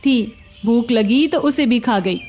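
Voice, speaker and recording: A woman acting as a hungry young beggar woman in a cartoon story. Her voice is high and pleading, yet she has a sharp tongue and answers back readily. Music plays behind her. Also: slow. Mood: sharp, cheeky.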